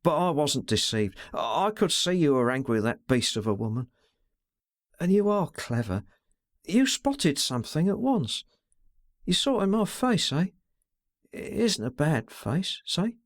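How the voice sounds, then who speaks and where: A man's voice: on the high side, big pitch swings, a little slow, no echo, studio quality. A man reading a novel aloud, voicing a distressed young woman under twenty who speaks hurriedly, stammers and pleads ardently. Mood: pleading.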